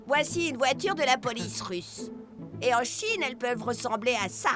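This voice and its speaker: A woman's voice: a little high, animated, moderate pace, over music, lightly acted. A woman playing a cheerful, curious presenter who teaches young children in an educational cartoon. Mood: informative.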